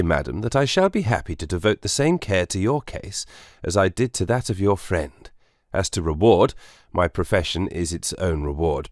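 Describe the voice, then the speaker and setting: An actor playing a courteous, self-assured Victorian gentleman detective in an audiobook drama, precise and soothing. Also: low voice, no echo, clean studio sound. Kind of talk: full-cast audiobook drama acting.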